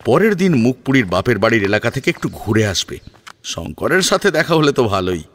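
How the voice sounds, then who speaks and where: A man narrating a scripted story in a dramatic, expressive storytelling manner. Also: medium pitch, fairly quick, a little hiss or hum.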